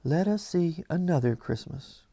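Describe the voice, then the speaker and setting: Male reader as a storybook spirit guide, thoughtful and gentle, speaking with quiet calm. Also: on the high side, unhurried, vocal fry, no room sound, exaggerated voice.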